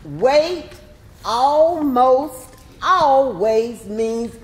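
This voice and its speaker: A woman's voice: high voice, lively intonation, very slow, full character voice. A woman performing in a readers theatre piece, speaking in a repetitive oratorical style. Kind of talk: oratorical staged reading with repetition. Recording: echoey room with clear crowd noise.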